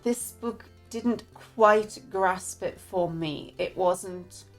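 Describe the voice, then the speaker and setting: A woman's voice: medium pitch, very slow, soft background music. A woman from the northeast of England with a northeast English accent, giving a candid, chatty book review. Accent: northeast English, mixed with other English accents. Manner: chatty, candid, reads quotes aloud.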